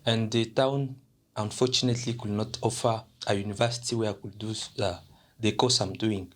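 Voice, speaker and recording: A young man from DR Congo answers interview questions about his university, in a voice that sits a little low. He hesitates a lot, filling gaps and starting his phrases over. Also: a bit bright. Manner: hesitant, many fillers and restarts. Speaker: international student representative.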